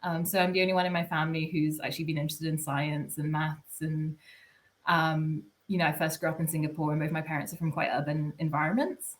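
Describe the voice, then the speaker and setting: A young woman with a slightly low voice answers interview questions in a loose, chatty way. She restarts her sentences often and fills pauses with um and like. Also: little pitch movement. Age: young adult. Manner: chatty, many restarts and fillers. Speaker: guest, PhD researcher.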